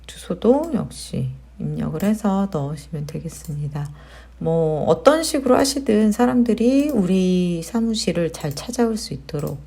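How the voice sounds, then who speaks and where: A woman with a slightly low voice narrates a software tutorial as its host. She explains each step in a conversational way, often posing questions to the viewer. The delivery is that of casual, practical instruction. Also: animated, normal speed, creaky, husky. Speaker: tutorial host, digital marketer.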